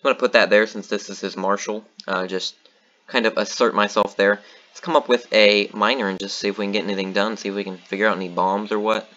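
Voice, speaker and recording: A man's voice: fairly low pitch, fast talker, lo-fi sound. A man giving casual commentary on his own board game match, thinking aloud with lots of ums and uhs. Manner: thinking aloud, relaxed, many fillers.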